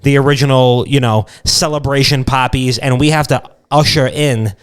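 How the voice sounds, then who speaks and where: A man's voice: medium pitch, steady tone, normal speed, smooth. A man co-hosting a casual comedy podcast, rambling irreverently and repeating himself. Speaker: podcast co-host.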